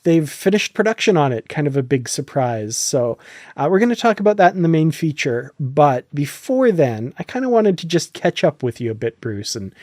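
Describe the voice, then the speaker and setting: A man's voice: on the high side, a little mellow, no room sound, studio quality. A man hosting a podcast in a casual, conversational style. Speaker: podcast host.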